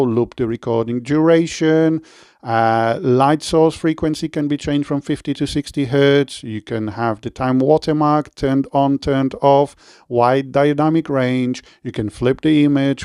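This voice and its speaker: A man with a Polish accent giving a relaxed product review and installation walkthrough, with frequent fillers and self-corrections. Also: medium pitch, clean tone, dry recording, clean studio sound.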